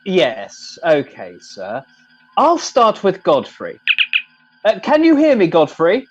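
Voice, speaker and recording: A man's voice: high-pitched, huge pitch swings, slow, dull audio, over music, exaggerated voice. A man playing a polite, mild-mannered middle-aged sergeant in an amateur comedy radio play, courteous and hesitant.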